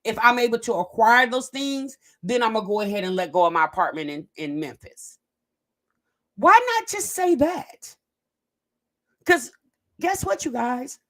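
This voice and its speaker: A woman's voice: a little high, normal speed, long pauses. Chatty woman hosting reaction commentary. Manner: animated, drags words out for emphasis, talks straight to viewers. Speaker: host of a reaction commentary channel. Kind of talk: casual reaction commentary to viewers.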